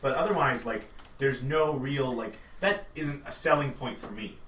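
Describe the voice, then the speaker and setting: A man's voice: mid-range voice, normal speed, fairly bright tone. A man chatting casually on a podcast in an informal, skeptical way. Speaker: podcast co-host. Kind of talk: casual chat. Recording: echoey, distant mic, noisy room.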